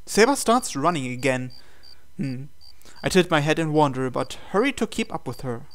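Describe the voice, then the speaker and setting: A man's voice: a little high, sing-song, normal speed. A man hosting a visual novel playthrough, reading the narration aloud dramatically and adding his own commentary. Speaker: host reading a game aloud.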